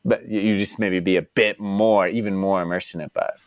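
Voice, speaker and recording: A man's voice: sits low, steady tone, fairly quick, no room sound. Laid-back young man from Texas, dry mic. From Dallas, United States. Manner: rambling, heavy use of "like" and "you know". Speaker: podcast guest. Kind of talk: casual interview chat.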